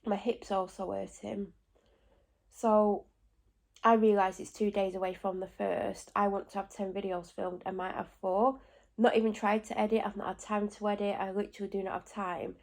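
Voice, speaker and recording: A woman's voice: mid-range voice, fairly even intonation, moderate pace, creaky, a little mellow. A woman with a blocked nose from a cold, rambling at home in a casual vlog with lots of asides and self-corrections. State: stuffy and congested with a cold. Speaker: vlogger.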